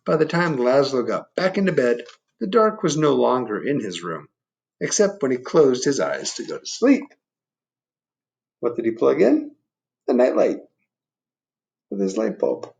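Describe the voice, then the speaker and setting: A man reading a children's story aloud, expressive, gently prompting young listeners with questions and asides. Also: medium pitch, measured pace, creaky, pauses often. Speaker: picture book reader.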